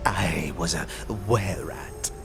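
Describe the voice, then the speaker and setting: A man voicing a nervous, stuttering wererat skull with a chittering, creaky voice in a fantasy audio drama. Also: low-pitched, music in the background, full character voice. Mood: nervous, sheepish. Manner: stuttering, giggling, halting.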